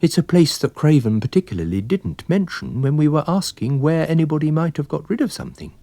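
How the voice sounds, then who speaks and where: A man voicing an affable, observant wine salesman and amateur sleuth, polite and gently pointed, in a dramatised story reading. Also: fairly high pitch, expressive, brisk, no room sound, pitched above the performer's own voice. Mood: polite, reasoning.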